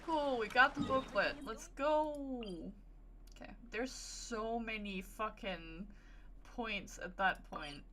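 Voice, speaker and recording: A woman's voice: fairly high pitch, slow pace, pushed and bright, a little hiss or hum. A woman streaming a game, giving casual, playful and wry commentary as she talks back to the characters. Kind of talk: casual live gameplay commentary.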